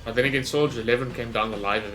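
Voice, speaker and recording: A man hosting a gaming video, giving excited, rambling reaction commentary. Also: mid-range voice, rapid-fire, music in the background, low-quality recording.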